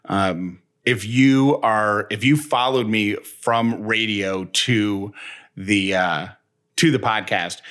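Guy podcast host just chatting, lowish voice, really bright and punchy. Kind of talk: casual podcast banter.

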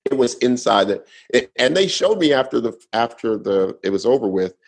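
A man's voice: mid-range voice, big pitch swings, moderate pace, creaky. A man telling a long personal story in a casual podcast chat, laid-back and rambling with restarts. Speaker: guest storyteller.

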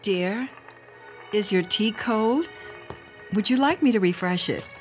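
An actress playing the gentle, doting elderly wife of a college dean in a radio drama. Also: female voice, mid-range voice, slow pace, soft background music, close to an everyday voice. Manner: fond, doting. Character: older dean's devoted wife, gentle and homely.

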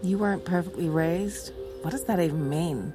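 A woman's voice: lowish voice, normal speed, natural voice. A woman in her thirties acting in an audio drama as a bold, teasing, tipsy confidante who talks bluntly and playfully. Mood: amused, probing. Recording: clear music behind the voice.

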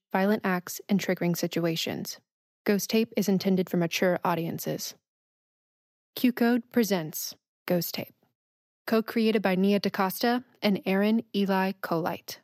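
A woman's voice: mid-range voice, a little mellow, dry recording, studio quality. A woman announcer reading a scripted advisory and credits in a formal narration style.

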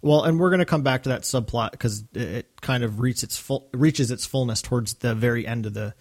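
A male podcast co-host with an average-pitched voice. He explains things casually and agreeably, as conversational commentary. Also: a little fast.

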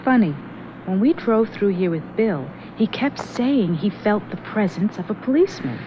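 A woman's voice: mid-range voice, sing-song, moderate pace, natural voice. Actress as uneasy young woman, radio mystery, hesitant, muffled old broadcast, faint hiss. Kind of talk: 1970s radio drama acting.

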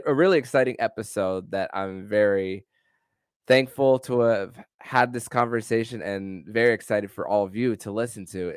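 A man hosting a sports podcast and interviewing, with somewhat halting, stop-start phrasing. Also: fairly low pitch, clear, resonant tone, no room sound, clean studio sound. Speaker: podcast host.

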